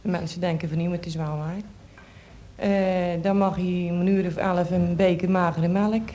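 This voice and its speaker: A woman explaining casually, haltingly listing things item by item. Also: fairly low pitch, steady tone, warm tone, slight background noise. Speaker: programme guest.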